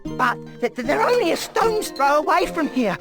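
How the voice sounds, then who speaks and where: An actor playing an anxious, flustered, whining medieval duke in a game cutscene, fretful and prone to stammering. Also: male voice, extremely high pitch, big pitch swings, normal speed, over music, full character voice.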